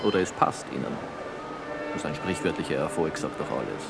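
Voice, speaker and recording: A man's voice: rumbling low, expressive, a little fast, creaky, over music, exaggerated voice. An actor playing an opinionated man who theorises emphatically in a conversational radio play scene. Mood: assertive, provocative. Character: opinionated man theorising boldly about the seducer's lust.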